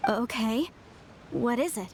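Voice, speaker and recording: A woman's voice: on the high side, expressive, takes it slowly, slight background noise. An actress playing an earnest, proper young woman archaeologist in a video game, with a dramatic, formal way of talking. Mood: earnest, resolute.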